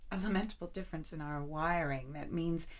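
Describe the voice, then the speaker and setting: Actress doing anguished woman in love, pretty low voice, emotional radio play acting, begging her guy. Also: brisk, lightly acted. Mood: distraught, pleading. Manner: earnest, emotional, pleading. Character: lovesick, anguished woman pleading with her distant lover. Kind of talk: audio drama acting.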